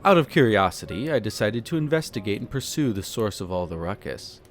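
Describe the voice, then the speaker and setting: Man acting suave vampire noble, richly expressive. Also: lowish voice, a little fast, soft background music. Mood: amused storytelling. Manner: theatrical, leisurely storytelling with teasing, haughty, formal wording. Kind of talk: roleplay audio drama monologue addressed to the listener.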